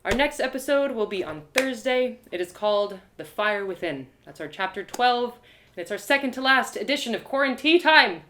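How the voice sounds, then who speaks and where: A woman's voice: fairly high pitch, animated, normal speed, a bit bright, a little hiss or hum. A woman narrating a story expressively in the first person, as a live storyteller. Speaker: storyteller and host of live readings. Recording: roomy, echoey live reading.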